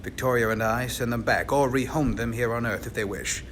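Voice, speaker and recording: A man's voice: medium pitch, a little fast, natural voice. A man playing an authoritative investigator of otherworldly visitors in a radio drama, speaking with dramatic assurance. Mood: grave, explanatory. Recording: clear background noise.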